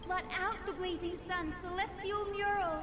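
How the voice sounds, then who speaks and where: A woman's voice: very high voice, fairly even intonation, normal speed. An actress playing a polite, timid little girl in a video game. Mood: earnest, incanting. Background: game music.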